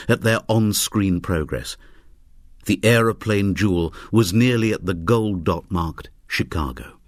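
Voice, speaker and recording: A man narrating a scripted story as an audiobook reader, in a wry, dramatic and expressive way. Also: deep voice, no echo, studio quality.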